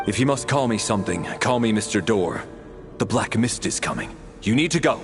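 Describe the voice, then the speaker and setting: Game role: reserved, protective male fighter, played by an actor, lowish register, expressive intonation. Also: brisk, over music, lightly acted. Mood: aloof, curt. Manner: reserved, formal. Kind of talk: video game dialogue acting.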